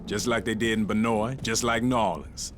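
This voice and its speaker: A bitter, distrustful male survivor from a video game, played by an actor. His voice is fairly low, with clear ambient noise around it. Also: steady tone, moderate pace, natural voice. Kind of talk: video game cutscene acting. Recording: noisy ambient background.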